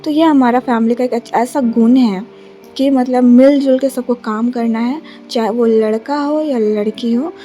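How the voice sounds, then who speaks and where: A young woman from Jharkhand, India, vlogging casually on a phone camera, her throat a little sore, speaking softly with many hesitations. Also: a little high, fairly even intonation, moderate pace, soft background music. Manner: soft, subdued, many hesitations. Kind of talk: casual vlog narration. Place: bus stand, then a relative's flat.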